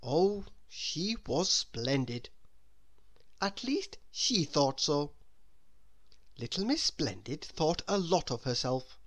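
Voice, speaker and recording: Expressive, very animated woman storyteller. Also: low voice. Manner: expressive, deliberate. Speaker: storybook reader. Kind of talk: children's read-aloud narration.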